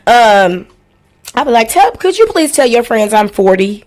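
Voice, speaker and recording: A woman's voice: a little high, big pitch swings, measured pace, darkish tone. A woman in her forties hosting a casual livestream, chatting in a blunt, playful, slangy way and swearing freely. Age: 40s. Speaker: livestream host. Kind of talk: casual livestream chat with house rules and shout-outs.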